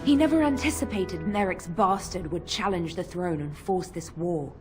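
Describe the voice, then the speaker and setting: A woman's voice: lowish voice, normal speed, lightly acted. A woman playing a stern, loyal knight in a fantasy game, speaking in a formal, defiant way. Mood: heated defense. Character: stern, loyal female knight defending her lord. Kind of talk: dramatic fantasy game dialogue. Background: loud music.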